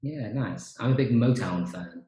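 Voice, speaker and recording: A man's voice: medium pitch, unhurried. Male host for a casual video-call chat, easygoing, with echo in the room. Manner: relaxed, chatty, frequent fillers. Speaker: podcast host, teacher trainer. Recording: roomy echo.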